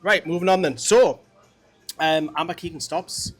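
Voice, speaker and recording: A man's voice: fairly high pitch, normal speed, slightly rough. A man hosting a casual football podcast, chatty and rambling with frequent restarts. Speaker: podcast host. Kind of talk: casual chat.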